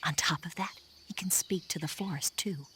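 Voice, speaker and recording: Calm lady spy on radio, lowish soft voice, faint hiss behind. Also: normal speed. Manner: soft, steady briefing tone.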